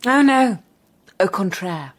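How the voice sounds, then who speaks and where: A woman's voice: medium pitch, lively intonation, slow. An actress playing a cultured, wry young woman teacher in a radio drama, articulate and dryly aloof. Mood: arch, amused. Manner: arch, dry, articulate.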